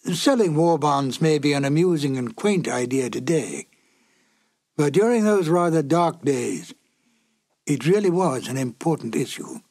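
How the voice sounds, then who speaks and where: A man hosting and introducing a reissued old radio drama, in measured, explanatory scripted narration. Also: on the high side, expressive, a little mellow.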